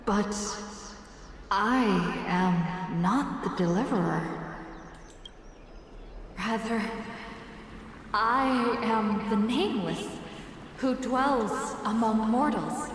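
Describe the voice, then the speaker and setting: A woman's voice: fairly high pitch, extremely slow, long pauses, slight background noise. Echoing, solemn female deliverer. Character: solemn awakened female deliverer, speaking as a benevolent god. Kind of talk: video game voice acting, ceremonial speech. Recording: very echoey hall reverb, music behind.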